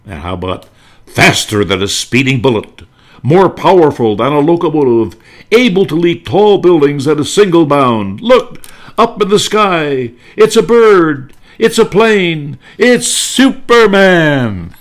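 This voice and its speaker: Man putting on a grand, booming 1940s radio announcer. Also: very animated, unhurried. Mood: excited, heroic. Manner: declamatory, grand. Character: booming, stately old-time radio announcer, grand and heroic.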